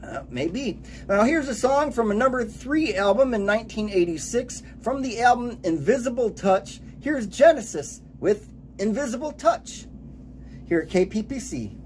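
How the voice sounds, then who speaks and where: A man in his late forties giving an upbeat radio DJ countdown, announcing titles and years in a lively way. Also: very high voice, normal speed, slight background noise. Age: late 40s.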